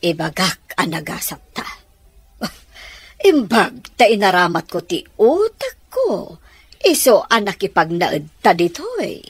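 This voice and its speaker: A woman's voice: mid-range voice, very animated, takes it slowly, slightly rough, no echo, full character voice. A woman playing a scheming, manipulative middle-aged mother in a radio drama, drawling insinuatingly with an Ilocano accent. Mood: smug, scheming.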